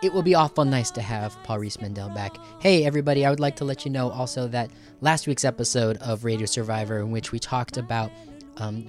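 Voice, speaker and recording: Male host, mid-pitched, casual off-the-cuff podcast delivery with halting asides, music in the background. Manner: conversational, halting, rambling asides. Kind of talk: casual unscripted podcast sign-off to listeners.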